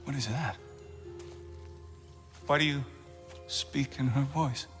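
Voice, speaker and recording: An actor playing a bitter, wry Norse god who leads rebels in a video game, speaking in a sardonic, deliberate way. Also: male voice, mid-range voice, a bit bright, big-room sound, soft background music. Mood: grim, wary. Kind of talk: cinematic video game acting.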